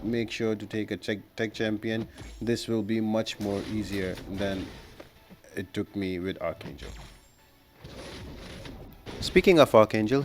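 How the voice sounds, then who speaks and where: A man's voice: on the low side, a little mellow, slight background noise. A man giving instructional gameplay commentary, explaining tactics step by step as a guide creator.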